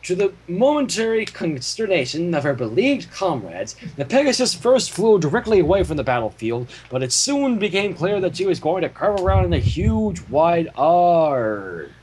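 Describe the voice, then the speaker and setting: Animated, higher-voiced man narrating dramatically. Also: normal speed, few pauses, slight background noise, low-quality recording. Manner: dramatic, expressive storytelling. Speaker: fan fiction reader and narrator. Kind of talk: animated scripted story narration.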